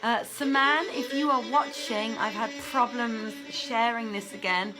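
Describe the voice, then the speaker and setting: A woman's voice: a little high, steady tone, unhurried, rough recording. A woman chatting casually and effusively as the host of an online story reading, speaking as a theatre director. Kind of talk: casual livestream chat.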